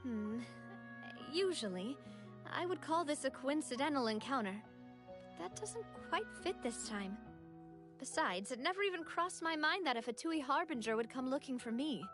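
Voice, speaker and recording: A woman's voice: high voice, lively intonation, normal speed, over music. A woman playing an earnest, dignified young leader, speaking politely and sincerely in a video game. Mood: polite, grateful.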